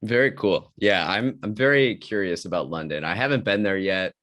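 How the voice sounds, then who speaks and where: A man's voice: low-pitched, moderate pace, fairly bright tone. A man hosting a podcast, welcoming his guest and asking easygoing questions in a casual chat. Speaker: podcast host.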